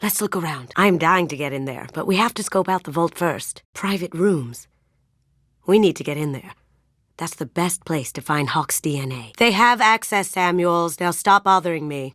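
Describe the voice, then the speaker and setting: A confident young female thief from a video game , played by an actress, speaks in a low feminine register. She is sly and playful, with a hushed, self-assured manner. The sound is dry, with no room on it. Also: no room sound, close to an everyday voice. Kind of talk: game character voice lines.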